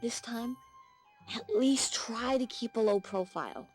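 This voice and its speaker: A woman's voice: on the high side, a little slow, natural voice. A woman playing an earnest, stubborn young boy hero in a cartoon. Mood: determined. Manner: youthful, earnest. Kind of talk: animated cartoon acting. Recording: music under the voice.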